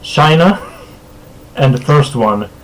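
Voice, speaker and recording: A man's voice: medium pitch, animated, slow, slight background noise, low-quality recording. A man from Sweden hosting a casual streamed podcast, rambling, often chuckling and correcting himself. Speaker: podcast host.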